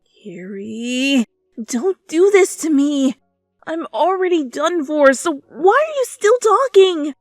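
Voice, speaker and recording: A woman's voice: sits high, sing-song, slow, dry recording, studio quality, pitched above the performer's own voice, exaggerated voice. A woman voicing a flustered, embarrassed teenage girl who stammers and blurts nervously, in an anime fan fiction reading. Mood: pleading. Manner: stuttering, blurting, nervous laughs. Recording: music behind the voice.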